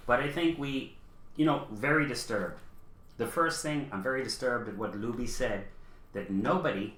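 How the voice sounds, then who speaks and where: A man's voice: mid-range voice, fairly bright tone. Blunt, insistent man in echoey room. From the Bahamas, Canadian Bahamian. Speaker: talk show panelist. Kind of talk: opinionated political discussion.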